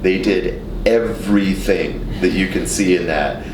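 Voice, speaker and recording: Low-voiced man chatting, echoey and noisy. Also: measured pace. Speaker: podcast host. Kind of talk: casual chat. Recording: echoey, distant mic, noisy with rumble.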